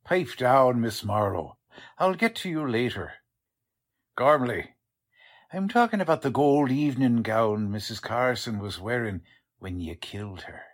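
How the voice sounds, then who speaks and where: Male actor as a husky, bossy cop sergeant in a radio-style mystery, lively pitch. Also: unhurried. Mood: interrogating. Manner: bossy, streetwise, working-class grammar. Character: gruff blustering male police sergeant. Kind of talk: amateur audio drama acting.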